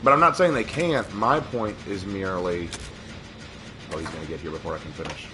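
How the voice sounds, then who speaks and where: A man with a slightly hoarse voice, casually chatting and reacting as he streams a game. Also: deep voice, fairly quick, music in the background. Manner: talkative, opinionated, laughs at the game. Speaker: livestreamer. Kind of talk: casual gameplay commentary.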